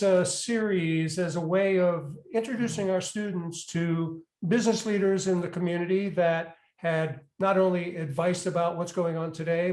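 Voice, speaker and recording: A man hosting a university interview series, asking questions in a measured, polite way with some fillers. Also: high-pitched, darkish tone, reverberant. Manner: measured, polite, with "uh" and "you know". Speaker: university executive in residence, interviewer.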